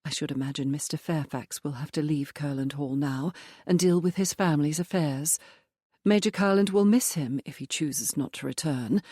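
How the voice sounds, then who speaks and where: A woman voicing a composed young woman who speaks firmly, quietly and in a measured way. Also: sits low, dry recording, studio quality. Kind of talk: audiobook dialogue.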